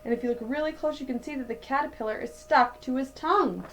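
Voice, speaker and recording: A woman in her thirties reading a picture book aloud to children like a teacher, with deliberate, dramatic emphasis. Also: high-pitched, noisy background. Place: small indoor room at home.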